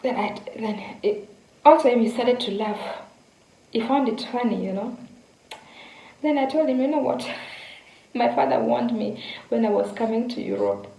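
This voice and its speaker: A woman's voice: fairly high pitch, a little slow, vocal fry, pauses often, dark tone, roomy sound, rough recording. A young woman from Kenya casually telling a story to her viewers, repeating words and speaking to them directly. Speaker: vlogger.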